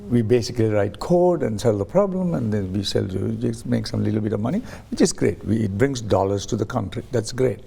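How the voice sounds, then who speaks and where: A man's voice: fairly low pitch, lively intonation, brisk, gravelly, hardly any pauses, mellow, breathy edge. A man interviewed as a guest on a talk show, explaining his ideas through stories and acting out quoted voices, with frequent restarts. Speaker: guest, science ambassador.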